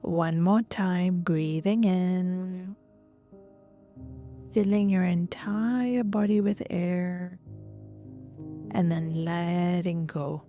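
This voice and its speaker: A woman leading guided relaxation and breathwork as a yoga instructor, gentle and soothing, often trailing off into a breathy near-whisper. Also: fairly low pitch, steady tone, extremely slow, long pauses. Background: soft music.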